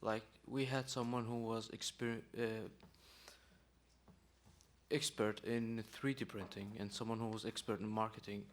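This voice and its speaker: A teenage young man, a student, hesitantly asking a panel an unscripted question and searching for words. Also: on the low side. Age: teens.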